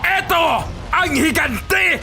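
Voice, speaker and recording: Righteous avenger for horror audio story: high, creaky, declamatory, vengeful. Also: male voice, animated, takes it slowly, bright, forceful tone, a little hiss or hum, heavily acted. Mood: vengeful fury. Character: wronged, righteous male avenger cursing monsters in vengeful fury. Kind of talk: horror audio drama acting.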